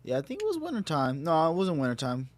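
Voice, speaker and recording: A man's voice: a little high, huge pitch swings, quick pace. A man co-hosting a casual podcast, telling stories in loose, slangy talk with swearing. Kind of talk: casual chat.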